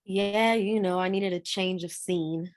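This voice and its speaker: A woman's voice: lowish voice, steady tone, a little slow, natural voice. A woman playing a busy grown daughter in a screenplay read, casual and naturalistic, teasing and defensive. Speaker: actor in a script read. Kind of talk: live screenplay table read.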